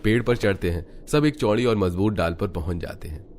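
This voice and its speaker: A man's voice: on the low side, expressive, a little fast, darkish tone, faint music behind. A man narrating a cartoon family story in a scripted storytelling style.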